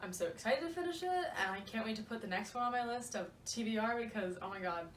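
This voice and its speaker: A woman's voice: on the high side, animated, fast. A young woman co-hosting a casual book vlog, talking in a gushing, slangy and emphatic way with playful asides. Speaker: book vlogger, co-host. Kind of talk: casual chatty book discussion. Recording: roomy, distant mic with faint noise.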